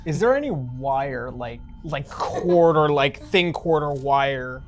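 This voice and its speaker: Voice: mid-range voice, lively intonation, slow, a little hiss or hum. A tabletop role-playing player chatting casually in a blunt, sweary way. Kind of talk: casual game chat.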